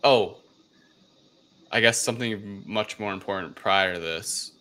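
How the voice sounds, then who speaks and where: A man's voice: on the low side, measured pace, bright, forceful tone. A man chatting casually through a film recap, rambling along in slang and slipping into acted-out quotes. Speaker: podcast co-host.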